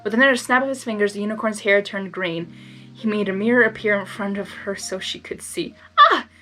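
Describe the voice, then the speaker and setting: A woman's voice: mid-range voice, steady tone, a little fast, a bit bright, soft background music. A woman narrating fan fiction expressively, slipping into casual, emotionally invested asides.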